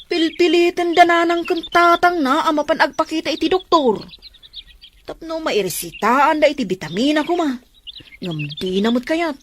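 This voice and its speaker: Woman playing a concerned friend in a drama, highish voice, kinda reproachful, some noise and birds. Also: moderate pace, lightly acted. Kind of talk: Ilocano audio drama dialogue.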